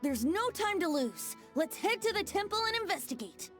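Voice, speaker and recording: High, playful boyish bard. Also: female voice, big pitch swings, normal speed, soft background music. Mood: concerned, decisive. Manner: wry, theatrical. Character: playful, teasing androgynous young male bard. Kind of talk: anime-style video game voice acting.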